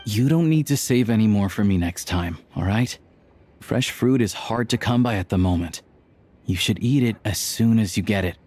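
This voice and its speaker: A man playing a patient, caring character in a video game, speaking gently and earnestly. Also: fairly low pitch, normal speed, natural voice. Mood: kindly. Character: patient, caring man who looks after an old woman. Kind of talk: video game voice acting, dialogue and exposition. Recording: background music under the dialogue.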